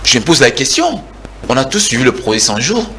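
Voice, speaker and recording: A man's voice: medium pitch, big pitch swings, a little fast, fairly bright tone, slight background noise. A man in his forties with a Congolese French accent, arguing heatedly as a guest in a televised political debate, repeating words as he presses his point. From Kinshasa, DR Congo.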